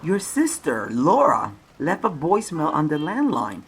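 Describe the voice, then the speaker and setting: A woman's voice: low-pitched, wildly swooping pitch, a little slow, slightly rough, exaggerated voice. A woman voicing an art center owner who speaks firmly and drops in Spanish asides. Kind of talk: audio drama acting.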